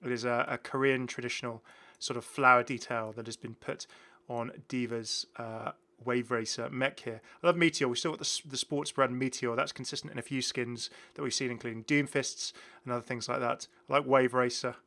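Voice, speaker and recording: A man's voice: mid-range voice, steady tone, a little fast, bright, forceful tone. A man presenting a gaming video in rambling, casual commentary, chatty and enthusiastic as he goes off on tangents.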